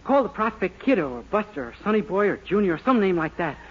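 A man's voice: sits high, very animated, fast, husky, exaggerated voice. An American man playing a naive, cheerful young small-town clerk in 1940s radio comedy style, with a boyish, chirpy manner. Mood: eager. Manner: boyish, chirpy, self-pleased. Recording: muffled, noisy 1940s radio recording.

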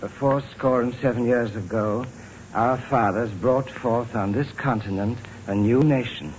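An actor playing a humble, earnest, deferential manservant with courteous, formal diction in 1930s Hollywood film style. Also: male voice, mid-range voice, a little mellow, close to an everyday voice. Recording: muffled noisy old film soundtrack.

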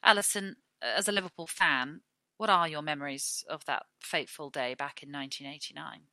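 A woman presenting a podcast in a measured, scripted style. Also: deep voice, pushed and bright.

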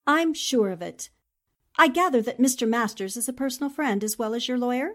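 A woman's voice: fairly high pitch, animated, brisk, fairly bright tone. An actress playing a determined, composed newspaperwoman who probes with sympathy, in 1940s-style radio drama. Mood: sympathetic, probing.

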